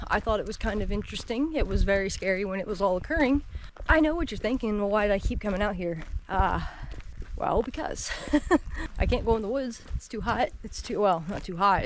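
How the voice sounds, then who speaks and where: A woman's voice: mid-range voice, poor audio. A woman in her thirties chatting to her camera on a wooded trail, rambling and laughing often. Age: 30s. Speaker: solo hiker vlogger. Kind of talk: casual walking vlog chat. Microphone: action camera mic carried while walking. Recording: outdoor background noise.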